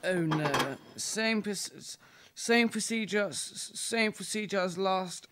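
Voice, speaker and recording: A man's voice: sits high, expressive, noisy background. A young man playing a deferential, drunken butler who stammers and halts, in an amateur radio play. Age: young adult. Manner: slurred, stuttering, halting.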